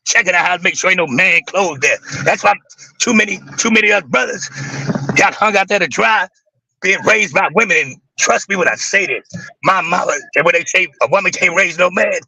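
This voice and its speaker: Man, raspy, creaky, high-pitched, intense and emphatic, dry sound. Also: lively intonation, fairly quick, pushed and bright, no room sound, poor audio. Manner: intense, emphatic, swears freely. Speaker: guest on a live stream. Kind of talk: casual livestream talk.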